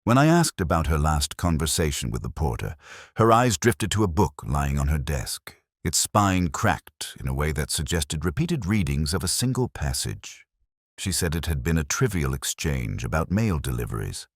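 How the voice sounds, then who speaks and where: A man reading scripted first-person detective narration in a measured, literary, brooding manner. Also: a bass voice, very animated, no room sound, studio quality.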